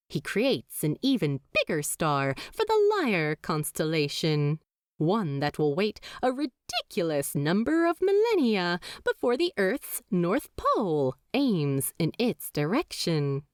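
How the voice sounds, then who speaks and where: Woman audiobook narrator, slow lively reading, dry close mic. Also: lowish voice, dry recording. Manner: expressive, measured reading. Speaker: fanfiction narrator.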